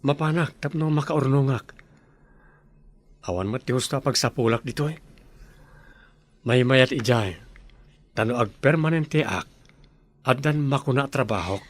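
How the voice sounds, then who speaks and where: A man's voice: medium pitch, a little slow, long pauses, natural voice. An actor with an Ilocano accent playing an earnest young village man in a radio drama.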